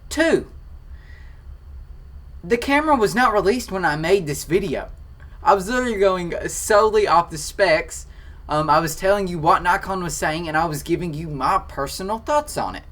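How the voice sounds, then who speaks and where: Teen photography vlogger, Kentucky country accent, chatty and excitable, talking from a home office. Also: male voice, high-pitched, normal speed. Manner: excitable, chatty, defensive then joking. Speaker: photography channel host. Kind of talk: casual Q&A reading comments.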